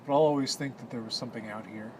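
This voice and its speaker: A man's voice: medium pitch, racing, darkish tone, close to an everyday voice. An actor playing a haunted, brooding man giving a documentary-style interview on a desolate road. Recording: vehicle noise and ambience behind voice.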